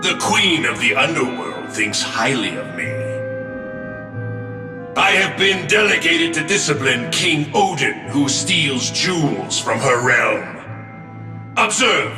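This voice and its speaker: A gloating video game villain lord speaks in slow, theatrical swoops. He is pompous and menacing, echoing over loud music. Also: mid-range voice, big pitch swings. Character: gloating villainous lord, servant of the underworld queen. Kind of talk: dramatic video game voice acting.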